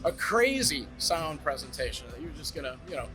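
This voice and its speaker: A man giving an eager sales pitch as a brand representative, demonstrating home theater gear in a trade show demo room. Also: very animated, brisk, over music. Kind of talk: product demo talk.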